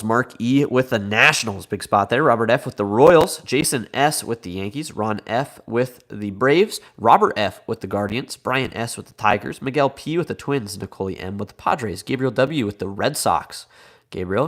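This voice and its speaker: A man with a Midwestern American accent hosting a live card break, slightly congested from a cold, chatty and upbeat as he reads out names and teams. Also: on the low side.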